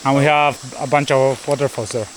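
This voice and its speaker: A man's voice: medium pitch, fairly even intonation, brisk. Male travel vlogger, Basque accent and native Basque. Casual outdoor chat, recorded amid noticeable rural background noise. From Basque Country, Spain. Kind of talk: casual outdoor vlog, chatting. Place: outdoors, rural tropical trail and roadside. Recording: noisy outdoor vlog, insects and ambience.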